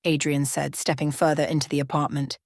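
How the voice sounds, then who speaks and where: Low-pitched woman narrating a bedtime story. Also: flat delivery, brisk, a little mellow, no echo, studio quality.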